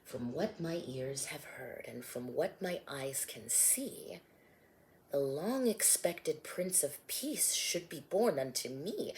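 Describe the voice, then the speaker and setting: A woman voicing a tender, lovestruck young man. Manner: soft, low and hushed.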